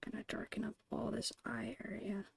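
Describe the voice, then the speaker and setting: A woman murmuring casual ASMR painting commentary in a whispery, creaky voice, unhurried and thinking aloud, close to the mic. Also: on the high side, little pitch movement, no room sound. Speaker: ASMR digital art streamer. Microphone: close microphone, dry.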